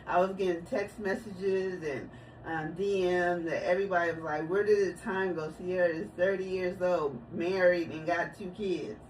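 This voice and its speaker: A woman's voice: on the low side, steady tone, moderate pace, a little mellow. Chatty woman in her forties, distant mic. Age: 40s. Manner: chatty, storytelling, laughs easily. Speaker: vlogger. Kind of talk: casual family chat. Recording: distant mic, noisy room.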